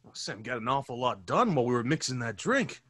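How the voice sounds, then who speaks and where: Excitable, slightly husky radio fellow. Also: male voice, medium pitch, fast talker, dry recording, clean studio sound. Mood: breezy, puzzled. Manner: breezy, slangy, exclaiming. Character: excitable male pal growing alarmed, breezy 1930s fellow. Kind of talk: old time radio acting.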